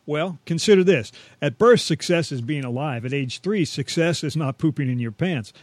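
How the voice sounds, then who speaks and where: A man's voice: on the high side, expressive, normal speed, no echo. A man co-hosting a comic radio show, trading scripted banter in a jokey, self-deprecating way.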